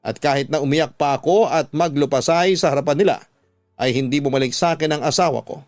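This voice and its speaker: Lalaking tagapagsalaysay ng drama, medyo mataas ang boses. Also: male voice, fairly high pitch, fast, no room sound. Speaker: radio drama host and narrator. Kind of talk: first-person dramatic story narration. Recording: close dry studio sound over music.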